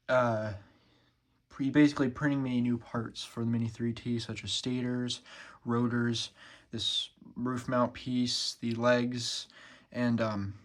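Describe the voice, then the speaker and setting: A man's voice: mid-range voice, a bit bright. A young man with a sore throat, talking casually through a show-and-tell vlog with plenty of ums and uhs. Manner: rambling, many ums and uhs, loose and casual. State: sore throat, feeling sick. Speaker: siren collector vlogger.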